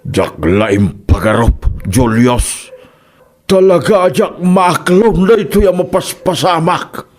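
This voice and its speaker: A man playing a blunt, disapproving Ilocano father in a radio comedy drama, with an Ilocano Filipino accent and halting, exclaiming delivery. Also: fairly high pitch, animated, a little mellow. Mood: troubled.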